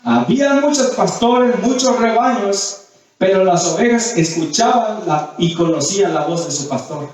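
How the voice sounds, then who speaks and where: High-voiced male preacher in echoey hall. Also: normal speed. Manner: didactic, repeats phrases for emphasis. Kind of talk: sermon with scripture readings and questions to the congregation. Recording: very echoey hall, distant mic.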